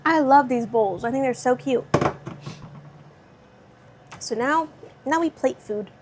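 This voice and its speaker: A woman's voice: sits high, takes it slowly, background noise. A young woman from Southern California with a light Californian accent, chatting casually with viewers while she cooks in her home kitchen and reads out their comments. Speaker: vlogger cooking live and answering chat. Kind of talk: casual live-stream chat.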